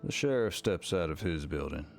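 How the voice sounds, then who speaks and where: A man's voice: sits low, sing-song, moderate pace, creaky, faint music behind. A man narrating a scripted second-person story for a visual novel game.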